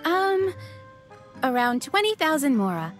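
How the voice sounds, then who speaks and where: A woman's voice: high voice, very animated, unhurried, faint music behind. A woman voicing a polite young waitress in a video game, describing things courteously and with pride.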